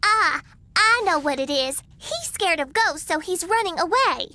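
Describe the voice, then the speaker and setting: A squealing, bratty little girl from a video game. She sits extremely high and sounds bright and sharp, swooping all over her range in close, dry sound. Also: expressive, unhurried, dry recording, exaggerated voice. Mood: whiny. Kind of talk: video game cutscene voice acting.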